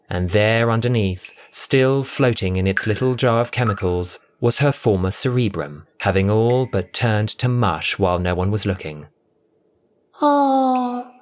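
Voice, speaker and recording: A narrator reading a scripted story in an expressive, theatrical, dramatic audiobook style. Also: sits low.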